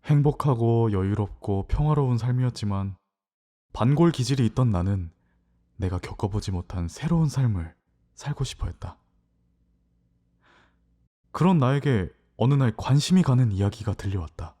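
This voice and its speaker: A man's voice: medium pitch, animated, moderate pace, long pauses, warm tone, close to an everyday voice. A man playing a young farmer's son, narrating his own fantasy story in a reflective, literary way in an audio drama. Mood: calm narrating.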